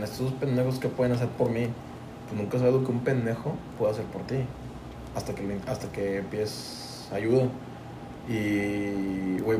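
A young man from Tampico with a Mexican Spanish accent, co-hosting a podcast in casual, profane chat full of slang. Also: fairly low pitch. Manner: slangy, profane, says "güey" constantly. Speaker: podcast co-host. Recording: echoey room with clear background noise.

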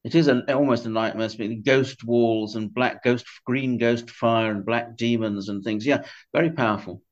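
A man's voice: medium pitch, steady tone. An older man speaking as an interview guest about a book, conversational and tentative, often correcting himself. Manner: tentative, self-correcting, halting. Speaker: podcast guest. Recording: dull, band-limited audio.

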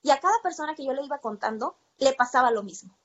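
High-voiced Mexican woman, slightly dull recording. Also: lively intonation, fast. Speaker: interview guest, percussion teacher. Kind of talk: conversational personal storytelling.

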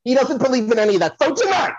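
A man's voice: extremely high pitch, very animated, very fast, husky, no room sound, lo-fi sound, full character voice. A man playing a flamboyant, touchy psychic who promotes himself and rambles effusively, repeating himself, as a comedy podcast guest. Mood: salesy, showy. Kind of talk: comedic audio play banter.

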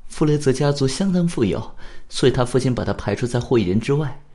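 A man's voice: mid-range voice, animated, quick pace, warm tone, pitched above the performer's own voice, close to an everyday voice. A man voicing a proud, earnest and anxious young woman in a radio drama, with formal, emphatic phrasing. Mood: reserved.

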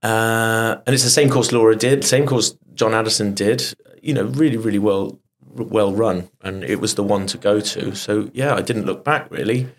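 A middle-aged man with a fairly low voice tells stories from his past as a guest on a talk show. He talks in animated bursts and trips over his own restarts. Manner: animated anecdotes with quick restarts. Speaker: guest performer. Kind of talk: casual interview storytelling.

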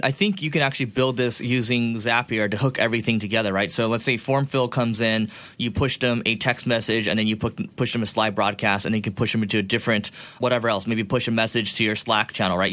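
A man's voice: on the low side, fairly bright tone, dry recording. A man co-hosting a podcast, explaining things in a casual, conversational way. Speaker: podcast co-host. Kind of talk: casual explanatory marketing talk.

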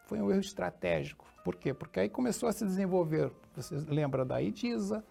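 Very gravelly man, middle-aged or older. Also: high-pitched, lively intonation, fairly quick, mellow, faint music behind. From born in Portugal, grew up in Rio de Janeiro, Brazil. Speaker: interview guest, technology executive. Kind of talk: casual conversational storytelling.

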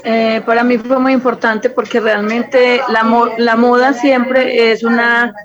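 A woman's voice: fairly high pitch, level tone, a little slow, a crowd in the background. Colombian female designer as interview guest, level intonation, somewhat dull lo-fi sound. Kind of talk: spontaneous interview answers.

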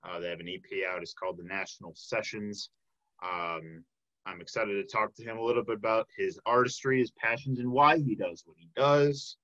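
A man's voice: lowish voice, moderate pace, a bit bright, lo-fi sound. A man hosting a casual interview, chatting in a friendly, informal way. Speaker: podcast host.

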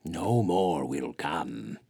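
A man's voice: rumbling low, lively intonation, extremely slow, creaky, mellow, slightly rough, dry recording, clean studio sound, full character voice. A man voicing a curly-haired Bigfoot elder who speaks sadly and with resignation. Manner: sad, resigned.

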